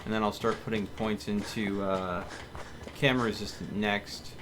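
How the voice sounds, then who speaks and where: Low-voiced man muttering through game commentary. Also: flat delivery, moderate pace. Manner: muttering to himself, deliberating. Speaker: game streamer. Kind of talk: casual live gameplay commentary, thinking aloud. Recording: clear background noise from game ambience.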